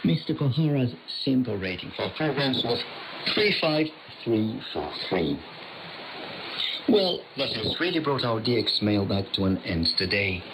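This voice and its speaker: A man reads a scripted radio mailbag as its host, his medium-pitched voice rising and falling in animated swings. His delivery is formal and measured, like an announcer's. It all comes through a noisy shortwave reception with clear static. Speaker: radio host reading listeners' reception reports. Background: radio static and hiss.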